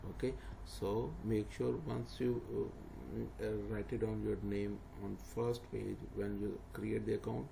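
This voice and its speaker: Low gravelly man explaining steps. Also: flat delivery, unhurried, few pauses. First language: not English. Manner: plain, instructive, repeats steps with fillers. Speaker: tutor. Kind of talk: screen-recorded walkthrough. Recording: noisy home recording.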